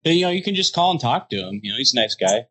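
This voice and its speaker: A man's voice: mid-range voice, very animated, racing, pushed and bright. A man in his thirties, co-hosting a casual podcast and throwing in dry jokes. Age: 30s. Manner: joking, wisecracking. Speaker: podcast co-host. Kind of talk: casual chat.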